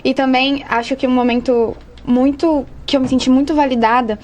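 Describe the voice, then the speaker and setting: Young Brazilian woman, distinctly high voice, informal podcast guest, faint ambient noise behind her. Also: steady tone, normal speed, darkish tone. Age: young adult. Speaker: advertising student, podcast guest. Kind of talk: casual conversational storytelling.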